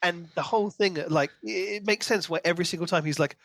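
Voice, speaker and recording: A man's voice: high voice, fast, fairly bright tone, no echo. A man co-hosting a podcast in casual book discussion, acting out reactions as he retells. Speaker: podcast co-host.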